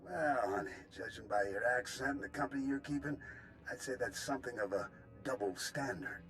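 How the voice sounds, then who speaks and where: An actor playing a smug, sardonic renegade soldier villain in a video game. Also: male voice, mid-range voice, fairly quick, fairly bright tone, soft background music, natural voice. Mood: mocking, smug. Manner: sardonic, folksy.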